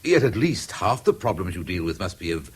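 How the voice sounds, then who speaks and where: An actor playing a sceptical police inspector in a radio drama, formal, incisive and deliberate, with an English accent. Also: male voice, low voice, sing-song, breathy edge, exaggerated voice. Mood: probing, insistent. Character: probing, sceptical male police inspector, brusque with subordinates. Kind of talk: 1970s British radio drama acting.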